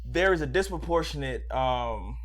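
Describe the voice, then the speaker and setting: A man with a loud voice co-hosting a casual group chat, joking and teasing the others and laughing often. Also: mid-range voice, expressive, normal speed. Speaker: podcast co-host.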